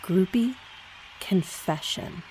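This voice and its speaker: A woman playing a giddy, gushing young groupie in a comic audio drama, talking in teenage slang with dramatic pauses. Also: mid-range voice, background noise, close to an everyday voice. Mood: gossipy. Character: giddy gushing young groupie girl confessing concert story.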